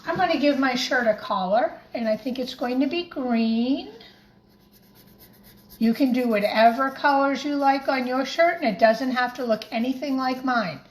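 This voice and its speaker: A woman teacher gently and encouragingly talking young children through a craft in simple words as she demonstrates it. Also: sits high, normal speed. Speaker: primary school teacher leading a craft. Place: home kitchen, by the refrigerator. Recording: echoey room with faint background noise.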